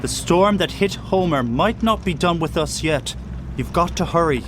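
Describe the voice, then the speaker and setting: An actor playing a cautious, fussy first mate and diving technician in an audio drama, speaking formally and precisely. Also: male voice, a little high, animated, moderate pace, natural voice. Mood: cautioning. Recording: clear outdoor boat and engine noise.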